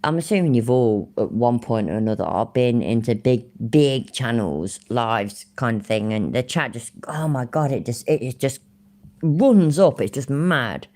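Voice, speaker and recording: A woman's voice: very deep voice, expressive, measured pace, warm tone. A woman with an English Midlands accent, chatting casually to her live-stream audience; she rambles, swears freely and laughs often.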